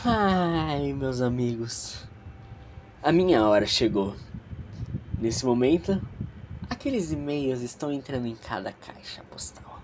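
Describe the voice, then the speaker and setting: A man voicing a self-important, theatrical young gentleman who declaims pompously to his guests in an audio drama. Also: mid-range voice, sing-song, slow pace, a little hiss or hum. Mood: brooding.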